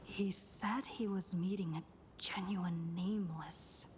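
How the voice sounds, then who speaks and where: A woman's voice: lowish voice, steady tone, takes it slowly, background noise. An actress playing an earnest, thoughtful young woman in a game, speaking carefully and a little hesitantly. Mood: worried.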